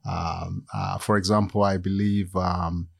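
A man from Ghana talking about his career in a relaxed interview, measured and pausing with many fillers. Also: sits low, gravelly, no echo. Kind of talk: casual interview chat.

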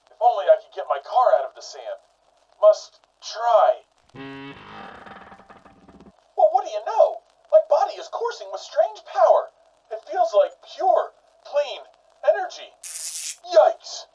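A man playing an excitable, squeaky-clean, bumbling superhero in a comic-book radio play, with corny wide-eyed exclamations. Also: slow pace, long pauses, breathy edge, distant mic, heavily acted. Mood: amazed. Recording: dull lo-fi, off-mic radio-style recording.

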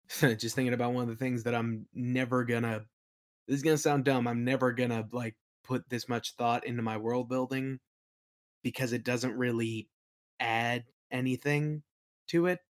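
A man chatting informally as an interview guest, explaining his creative work in a halting, think-aloud way. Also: mid-range voice. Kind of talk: casual chat, explaining own creative work.

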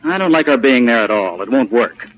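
Man playing a fast-talking exasperated young fiance. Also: mid-range voice, animated, close to an everyday voice. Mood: grumbling. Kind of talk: 1940s radio comedy acting. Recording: dull, noisy old radio recording.

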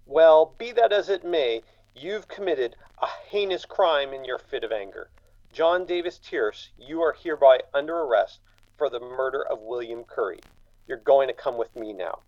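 Radio drama role, male actor: formal, stern lawman of the court, mid-pitched, closely recorded. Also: moderate pace, darkish tone, no room sound, dull audio, close to an everyday voice. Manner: formal, authoritative. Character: stern, formal male crown attorney making an arrest.

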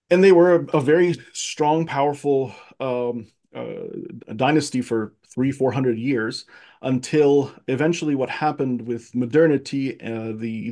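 A man's voice: medium pitch, a little mellow. A man from Egypt talking as a guest in a casual conversation, earnest and thoughtful, with frequent fillers and restarts. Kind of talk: casual conversation, personal history.

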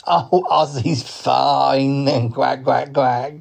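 A man's voice: medium pitch, steady tone, drawn-out words, creaky, a little mellow, exaggerated voice. A man voicing a big, cheerful, jokey talking duck who punctuates his talk with quacks in a whimsical audio story.

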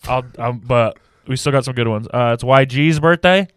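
A man's voice: mid-range voice, normal speed, clear, resonant tone, no echo. A man hosting a casual comedy podcast, joking and teasing his co-hosts. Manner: joking, teasing, laughs often. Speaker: podcast host.

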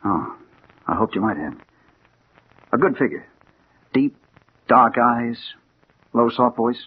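An actor playing a hard-boiled American detective, narrating and acting with dramatic intensity in a 1940s radio crime drama. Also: male voice, medium pitch, animated, slow pace, husky. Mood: terse. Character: hard-boiled male private detective bent on revenge. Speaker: narrator and lead character. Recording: muffled old radio recording with hiss.

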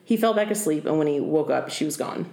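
A young woman with a low voice casually tells folklore on a podcast, joking as she goes. She sounds echoey, recorded in a room at home. She keeps the tone loose and conversational. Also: lively intonation, fast, dark tone. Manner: laughing, joking storyteller. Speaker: podcast co-host.

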